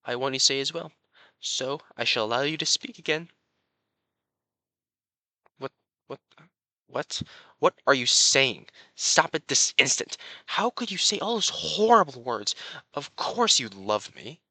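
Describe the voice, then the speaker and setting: An actor playing a possessive, haughty prince in an audio roleplay, coaxing and pompous, speaking straight to the listener with sweet menace. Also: male voice, mid-range voice, expressive, unhurried, pauses often, pushed and bright, no room sound, exaggerated voice. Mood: tender, obsessive, then hurt, controlling. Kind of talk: dramatic roleplay addressed to the listener.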